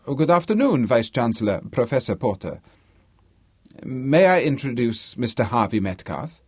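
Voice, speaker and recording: A man's voice: mid-range voice, sing-song, moderate pace, darkish tone, husky, dry recording, clean studio sound. A man voicing a polite, slightly nervous English academic with formal courtesy, as part of a storybook reading. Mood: courteous, formal.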